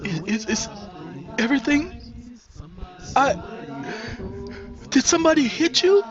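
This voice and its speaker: A man voicing an emotional young woman who stammers in a high voice as she panics. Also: animated, slow pace, lo-fi sound, over music. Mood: frightened.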